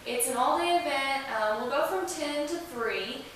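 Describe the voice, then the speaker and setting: A woman's voice: high voice, lively intonation, normal speed, a bit bright. A woman speaking as an interview guest in a casual, chatty style. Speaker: podcast guest representing a museum. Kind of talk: casual chat. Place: echoey indoor room. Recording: echoey room, distant mic, hiss.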